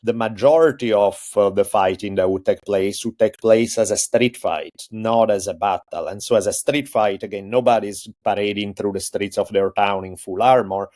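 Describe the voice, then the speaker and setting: Casting: man, low voice, guest on a talk podcast, patient explainer. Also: moderate pace, hardly any pauses, darkish tone. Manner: thoughtful, explains at length with restarts. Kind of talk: casual interview talk.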